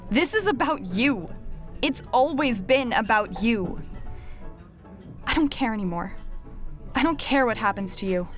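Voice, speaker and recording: A woman's voice: a little high, expressive, a little slow, pauses often, soft background music. A woman playing a bitter, fed-up fiancée in a film drama, confrontational and cutting. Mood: cold, done. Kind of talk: student film drama acting.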